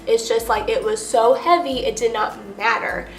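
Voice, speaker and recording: Congested young American woman, higher-pitched voice. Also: fairly even intonation, normal speed. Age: young adult. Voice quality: nasal, congested. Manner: chatty, emphatic, peppered with "like". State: stuffed up with a cold. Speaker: vlogger. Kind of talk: casual personal storytime to camera. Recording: roomy echo with music underneath.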